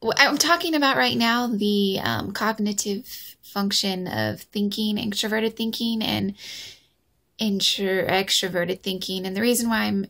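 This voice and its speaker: A young woman with a light, fry-edged voice, speaking slowly into her phone camera. She talks in a halting, thoughtful way, pausing and restarting often. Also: mid-range voice, fairly bright tone. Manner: hesitant, many ums and restarts. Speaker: vlogger. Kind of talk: casual personal vlog.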